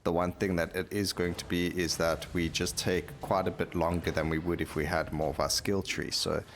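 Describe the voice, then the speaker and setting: A man's voice: low-pitched, level tone, normal speed, slight background noise. A man giving casual video game commentary, thinking aloud and explaining his choices as he plays. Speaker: gaming YouTuber.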